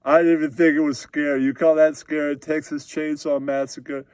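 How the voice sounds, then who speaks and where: A man's voice: on the high side, level tone, moderate pace, darkish tone, clear, resonant tone, no echo, natural voice. A man voicing a scornful, crude male puppet movie critic, blunt and repetitive. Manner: blunt, repetitive, punctuated with yeahs.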